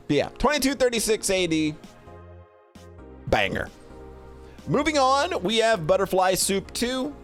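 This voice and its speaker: A man giving casual, rambling livestream commentary, thinking aloud in an informal, halting way. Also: on the high side. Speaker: streamer hosting a tier list of games.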